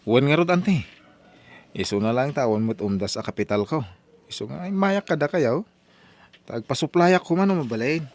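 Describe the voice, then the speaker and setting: A man playing a young laborer out of work who is earnest and animated. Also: medium pitch, normal speed, close to an everyday voice. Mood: hopeful. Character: young man, jobless laborer turning to farming. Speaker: lead character. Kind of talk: radio drama acting.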